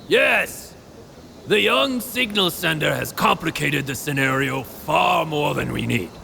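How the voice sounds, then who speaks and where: An actor playing a cautious, stubborn townsman in an audio drama, speaking with emphatic oratory and a gravelly voice. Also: fairly high pitch, animated, measured pace, sharp tone, a little hiss or hum, full character voice. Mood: objecting. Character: cautious, stubborn townsman urging appeasement and survival.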